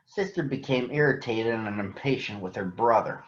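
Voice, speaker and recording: An American man addressing children and reading a picture book aloud in a deliberate, announcer-like way. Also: medium pitch, slight background noise. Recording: echoey room, slightly dull sound.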